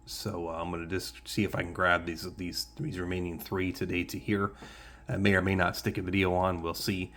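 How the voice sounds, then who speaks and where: A man presenting as a radio hobbyist in a casual, unscripted demonstration, speaking haltingly as he thinks aloud. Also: low-pitched, flat delivery, fairly bright tone, a little hiss or hum.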